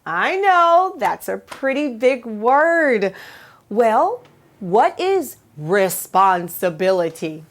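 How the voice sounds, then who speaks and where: A woman's voice: a little high, very animated, drawn-out words. A woman hosting a children's read-aloud, explaining in a teacherly way and answering her own questions. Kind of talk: animated children's storytime presenting.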